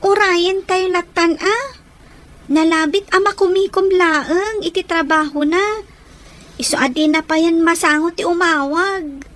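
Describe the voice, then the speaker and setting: An actress with an Ilocano accent playing an earnest, gentle woman in a family radio drama. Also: extremely high pitch, steady tone, measured pace.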